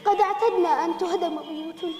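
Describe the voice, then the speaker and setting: A woman's voice: very high-pitched, quick pace, dark tone. A woman playing a solemn, defiant young woman who speaks in formal, declamatory lines. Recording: echoey hall with music.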